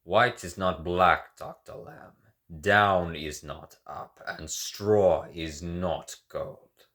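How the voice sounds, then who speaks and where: A man's voice: low voice, takes it slowly, a bit bright, full character voice. Man doing a stern, declaiming founder. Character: stern, proud male founder lecturing on reason.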